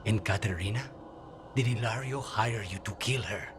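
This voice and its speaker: A man's voice: lowish voice, moderate pace, natural voice. A man playing a grim, betrayed assassin in a video game, speaking tersely and intensely over music. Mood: hurt, probing. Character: betrayed male assassin, grim, vengeful, controlled.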